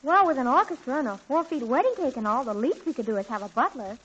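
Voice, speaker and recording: A woman's voice: sits high, animated, quick pace, a bit bright, husky, exaggerated voice. An actress playing an eager teenage girl who is planning a party and is bubbly and persuasive. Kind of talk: 1940s radio acting. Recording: dull old radio broadcast.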